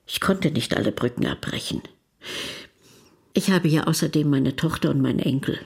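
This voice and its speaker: A woman's voice: low-pitched, normal speed, creaky, breathy edge, heavily acted. A woman playing a candid older retired wife in a radio documentary play, plain-spoken and conversational. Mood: reminiscing. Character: candid older retired wife, a grandmother living between cultures.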